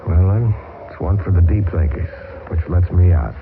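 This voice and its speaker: An actor playing a wry, joking bush pilot in a 1970s radio drama. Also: male voice, low-pitched, lively intonation, normal speed, creaky. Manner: wry, joking under strain. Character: wisecracking male American bush pilot, easygoing. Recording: tinny lo-fi old radio broadcast, hissy.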